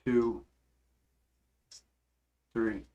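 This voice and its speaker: A hoarse man hosting a stock market livestream, casually walking through charts and answering chat, calling viewers bro and man. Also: medium pitch, level tone, extremely slow, dark tone, highs cut off. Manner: laid-back, calls viewers bro and man. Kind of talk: casual live chart commentary and chat replies.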